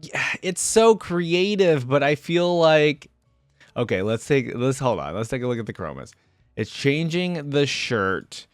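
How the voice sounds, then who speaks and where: A man's voice: medium pitch, very animated, unhurried, no echo, clean studio sound, soft background music. A man giving casual, informal reaction commentary on game character skins, thinking aloud as he goes. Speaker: reaction video host.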